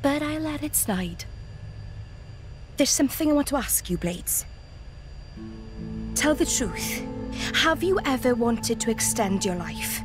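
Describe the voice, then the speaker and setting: A woman playing a blunt, teasing, soft-hearted young female character in a game cutscene. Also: high voice, animated, slow pace, pauses often, music in the background. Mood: earnest. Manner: sardonic, blunt. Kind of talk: animated game cutscene acting.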